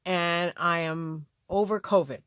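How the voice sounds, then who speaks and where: Slightly raspy middle-aged woman chatting. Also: on the low side, steady tone, slow, a bit bright, no room sound, clean studio sound. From Canada. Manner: rambling, many fillers and restarts. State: slightly raspy voice after illness. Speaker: crafting channel host. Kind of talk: casual chat to camera. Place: home craft studio.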